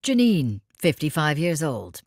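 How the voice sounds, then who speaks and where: An actress playing a bitter, wounded elderly lover in an audio drama, terse and reproachful. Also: female voice, sits low, big pitch swings, unhurried, a little mellow, no room sound, studio quality, exaggerated voice. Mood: shocked, indignant.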